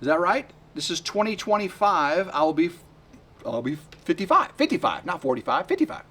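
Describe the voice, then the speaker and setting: Excitable rambling man in his fifties. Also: sits high, normal speed. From West Tennessee. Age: 50s. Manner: rambling and excitable, many fillers and restarts. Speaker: homesteading vlogger. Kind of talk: rambling casual vlog to camera. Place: outdoors by a work shed on a sunny day.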